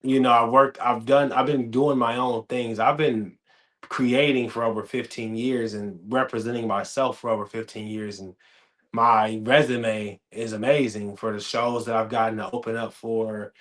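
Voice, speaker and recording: Animated storytelling man host. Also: mid-range voice, normal speed. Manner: animated storytelling, acts out quoted dialogue. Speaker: podcast host. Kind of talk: casual chat.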